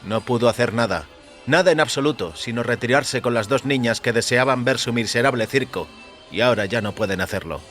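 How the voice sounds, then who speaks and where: A man's voice: mid-range voice, a little fast, a bit bright, faint music behind, pitched above the performer's own voice, close to an everyday voice. A man voicing an indignant, articulate man, formal, emphatic and accusing, in an audio story. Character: indignant, articulate dying man, morally outraged avenger. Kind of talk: dramatised short-story dialogue.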